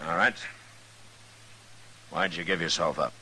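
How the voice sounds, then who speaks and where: A low-voiced, authoritative frontier marshal from an old radio western. He speaks plainly and steadily, in an old broadcast recording. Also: measured pace, pushed and bright, slight background noise, heavily acted, rough recording. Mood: businesslike. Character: stern, steady western marshal, grown man. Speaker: lead actor and narrator. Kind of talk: 1950s radio western acting, first-person narration.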